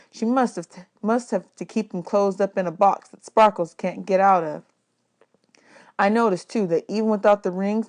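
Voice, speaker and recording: A woman reading a children's novel aloud as a teacher would, in an expressive storytelling style. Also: medium pitch. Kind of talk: expressive audiobook read-aloud.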